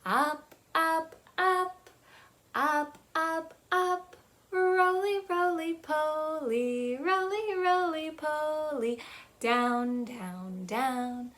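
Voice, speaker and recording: Woman, 30s, children's storytime host; high voice, slow sing-song delivery, webcam in a small playroom. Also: a bit bright. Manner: sing-song, teacherly, lots of hand gestures. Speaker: children's librarian. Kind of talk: children's storytime with songs and signing. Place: small playroom with shelves, indoors.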